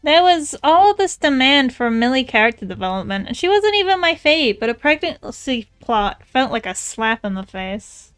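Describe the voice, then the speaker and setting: A woman artist chatting casually while she draws, thinking out loud in a rambling, tentative way. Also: sits high, sing-song, moderate pace, hardly any pauses, clear, resonant tone. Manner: rambling, musing aloud, tentative. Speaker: artist streamer. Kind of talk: casual chat while drawing. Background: music.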